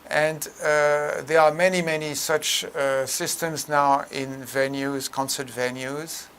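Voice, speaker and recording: Guy doing a technical explainer, slightly high voice, kinda halting, noticeable background noise. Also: steady tone, fairly bright tone. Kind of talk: explanatory tutorial narration.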